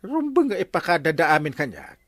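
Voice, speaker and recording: A blustering Filipino village captain for a radio comedy. His pitch swoops wildly as he stammers and puffs himself up, easily rattled. The sound is dry and close. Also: sing-song, a little fast, a bit bright, no room sound. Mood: indignant, self-important. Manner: pompous, stammering. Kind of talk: Ilocano radio comedy drama acting.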